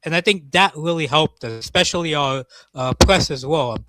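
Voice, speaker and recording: A man in casual football reaction chat, animated, often restarting and repeating his words. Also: a little high, moderate pace, noisy background. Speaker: football show co-host.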